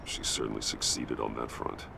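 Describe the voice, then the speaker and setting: An actor playing a brooding, stern masked vigilante in a video game, terse and grave, in a deep, gravelly growl. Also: male voice, monotone, moderate pace, noisy background, full character voice. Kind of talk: dramatic video game acting.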